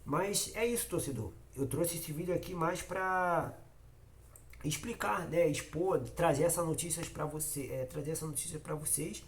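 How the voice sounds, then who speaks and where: A man's voice: a little high, moderate pace. Nasal Carioca man with a cold. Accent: Carioca Brazilian Portuguese. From Rio de Janeiro, Brazil. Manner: repetitive and emphatic, addresses viewers directly. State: has a cold. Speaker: football fan channel host. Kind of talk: casual opinion vlog.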